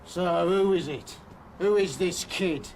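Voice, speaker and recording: An actor playing an angry, domineering drunk man in a radio play, slow, belligerent and demanding. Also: high voice, a little mellow, slight background noise, low-quality recording.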